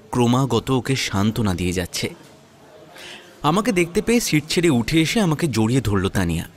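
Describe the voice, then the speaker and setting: A man narrating a scripted first-person audio story in a measured, dramatic storytelling manner. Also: mid-range voice.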